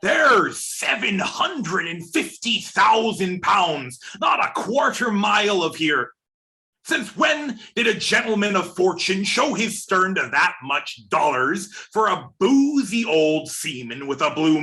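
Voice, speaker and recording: A man's voice: sits high, very animated, slow pace, sharp tone, exaggerated voice. A man playing a wily, blustering pirate seaman in a radio play, speaking in pirate dialect. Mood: urging, blustering.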